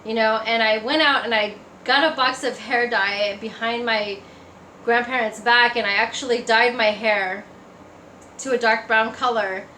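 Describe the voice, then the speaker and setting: A woman's voice: a little high, fairly even intonation, unhurried, bright, forceful tone. A woman giving a personal testimony in a casual, conversational storytelling way. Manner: conversational, acts out remembered remarks. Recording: echoey room, faint background noise.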